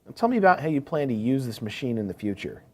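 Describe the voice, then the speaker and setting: A man's voice: mid-range voice, very animated, quick pace. A man playing a courteous, attentive equipment salesman in a scripted business phone call, asking probing questions. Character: courteous, eager male heavy-equipment salesman.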